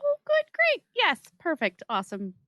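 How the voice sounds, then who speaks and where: A woman's voice: very high voice, huge pitch swings, normal speed, pushed and bright, no room sound, clean studio sound. A woman playing a tabletop role-playing game, talking casually and improvising in her own voice. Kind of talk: casual talk, partly improvised in character.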